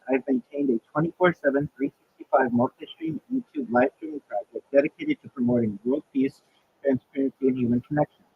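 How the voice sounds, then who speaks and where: A man, a rabbi and livestreamer, reading a prepared self-introduction in a measured, list-like way with occasional restarts. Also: a little high, vocal fry, breathy edge, narrow, tinny audio. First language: not Hebrew.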